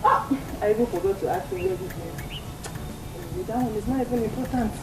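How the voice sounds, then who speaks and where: A woman with a Nigerian accent playing an excitable young wife who giggles and brags. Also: on the high side, normal speed, lightly acted, rough recording. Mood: gleeful. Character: giddy young wife bragging about a car.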